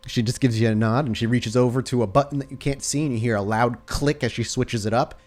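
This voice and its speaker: A man runs a tabletop game as its storyteller, in an ordinary mid-pitched voice. He improvises descriptive narration, setting out the scene detail by detail for his player. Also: fairly even intonation, fast talker, a little mellow. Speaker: game master.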